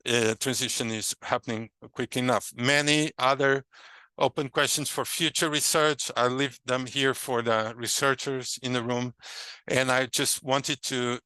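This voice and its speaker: A man from Brazil with a Brazilian Portuguese accent, a native Portuguese speaker, delivers an academic lecture. His voice is bright and crisp, and he hesitates often with fillers and restarts. The sound is dry and close. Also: medium pitch, no room sound.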